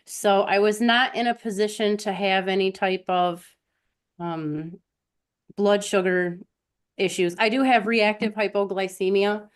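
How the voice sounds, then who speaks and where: A woman's voice: mid-range voice, fairly even intonation, unhurried, clear, resonant tone. A woman hosting a live stream, telling personal stories in a casual, conversational way and talking directly to her listeners.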